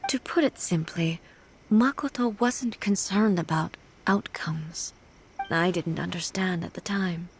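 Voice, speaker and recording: A woman playing a solemn, regal immortal ruler in a video game, speaking in a formal, measured, contemplative manner. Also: fairly low pitch, slight background noise. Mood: reflective, solemn. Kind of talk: video game character dialogue.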